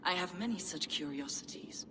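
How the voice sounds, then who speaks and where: A deep female voice from an actress, playing a devout and secretive heretic in a game. She speaks in measured, archaic riddles, with clear ambience behind her. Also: animated, background noise, natural voice. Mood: cryptic. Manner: measured, cryptic, archaic phrasing. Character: enigmatic, devout heretic woman, collector of sacred relics. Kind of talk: dramatic video game acting.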